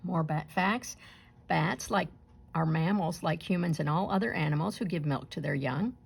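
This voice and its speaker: A woman reading a children's picture book aloud in an expressive storytelling style, acting out the sounds and dialogue. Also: sits low, moderate pace, gravelly, slightly rough. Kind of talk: animated read-aloud narration for children.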